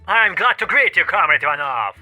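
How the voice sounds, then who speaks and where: Man doing a sharp, forceful mysterious Soviet official. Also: high-pitched, huge pitch swings, normal speed, dry recording, faint music behind, full character voice.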